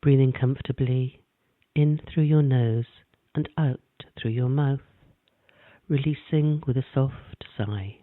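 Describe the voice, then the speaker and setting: A man gently narrating a guided meditation in a calm, measured way. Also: medium pitch, no echo. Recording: dry, tinny phone-like sound.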